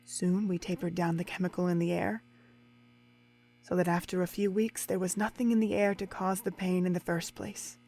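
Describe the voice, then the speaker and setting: A woman's voice: fairly low pitch, darkish tone, no echo, clean studio sound. Woman playing a cold, scornful pony overseer. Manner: deliberate, contemptuous monologue with swelling intensity. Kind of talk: dramatic audio drama acting.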